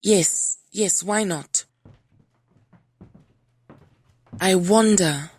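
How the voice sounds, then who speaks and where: A woman's voice: mid-range voice, slow, darkish tone. A woman playing a poised, formal and dryly spoken superheroine in an audio drama. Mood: thoughtful.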